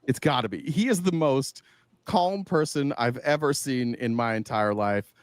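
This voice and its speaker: A man's voice: mid-range voice, normal speed, dry recording. A man giving casual political commentary, emphatic, throwing out rhetorical questions and swearing freely.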